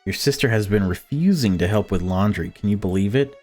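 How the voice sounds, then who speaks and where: A man's voice: on the low side, brisk, darkish tone, faint music behind, natural voice. A man voicing a deluded, self-pitying mother who dotes and snaps, reading visual novel dialogue aloud. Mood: doting, self-pitying.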